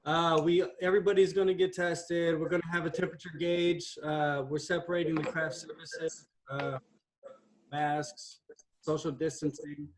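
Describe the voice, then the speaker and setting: A high-voiced man welcoming cast members on a video call from home. His upbeat, friendly chatter keeps things loose and casual. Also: flat delivery, normal speed.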